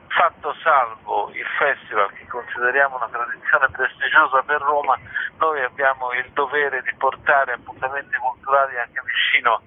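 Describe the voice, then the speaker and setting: Articulate man on a tinny phone line. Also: medium pitch. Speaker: library institution representative, interview guest. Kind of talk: explanatory radio interview.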